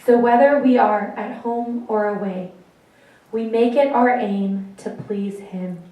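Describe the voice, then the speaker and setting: A woman reading scripture solemnly at a memorial service, in a measured and formal way. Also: fairly high pitch, fairly even intonation, dark tone. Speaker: scripture reader. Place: large reverberant room at a memorial service. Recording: very echoey, distant, dull sound.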